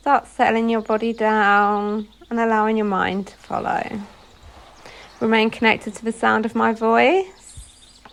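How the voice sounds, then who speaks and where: A woman guides a relaxation practice as a yoga instructor. Her voice sits a little high and has a marked creak to it. She gives soothing instructions in short phrases, leaving pauses between them. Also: little pitch movement. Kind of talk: guided meditation.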